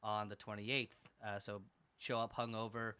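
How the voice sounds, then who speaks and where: A young man chatting casually as a podcast guest, laid-back and joking, trailing off quietly at the ends of his sentences. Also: on the low side, little pitch movement, normal speed, a little hiss or hum. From abroad, moved to Finland. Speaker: guest, event organizer.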